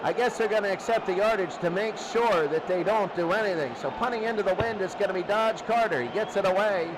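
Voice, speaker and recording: Male sports announcer, high register. Terse live football calls over clear noise. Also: fairly quick. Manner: terse calls, repeating down and yardage. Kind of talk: live American football commentary. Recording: clearly noisy recording.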